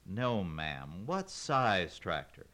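A man's voice: sits low, big pitch swings, extremely slow, fairly bright tone, full character voice. A man voicing a polite, plodding parts counter man in a read-aloud story. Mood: patient.

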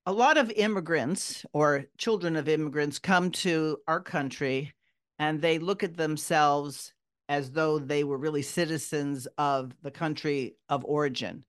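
A man's voice: fairly high pitch, measured pace, a bit bright. A man interviewing a guest, asking probing follow-up questions.

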